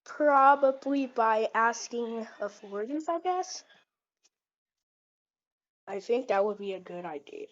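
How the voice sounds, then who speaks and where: A synthetic female voice speaking scripted dialogue in a stilted, deliberate way. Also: a little high, lively intonation. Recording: dull lo-fi audio.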